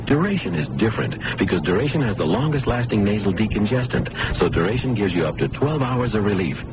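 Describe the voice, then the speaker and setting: Guy selling stuff on old radio, emphatic, up and down delivery, clear hiss. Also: on the low side. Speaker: commercial announcer. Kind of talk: scripted radio advertisement. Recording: noisy old radio broadcast recording.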